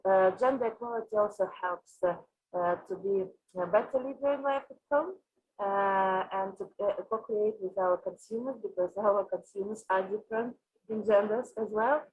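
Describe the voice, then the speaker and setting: A woman from Russia with a Russian accent, answering interview questions as a company executive, haltingly and with frequent filled pauses. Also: mid-range voice, a little mellow, breathy edge, faint music behind, poor audio. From Moscow, Russia. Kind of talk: interview answers on an online panel.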